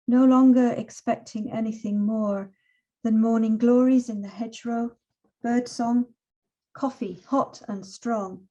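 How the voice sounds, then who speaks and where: A woman in her sixties reading and introducing her own poetry in a measured, expressive way. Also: a little high, highs cut off. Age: 60s. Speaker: poet.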